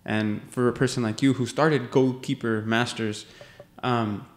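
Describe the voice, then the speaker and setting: A man's voice: medium pitch, steady tone, a little slow, echoey room. A man hosting a podcast in casual interview chat, friendly and dropping in Spanish phrases. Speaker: podcast host. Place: office room used as a podcast studio. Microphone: studio mic on a stand, headphones.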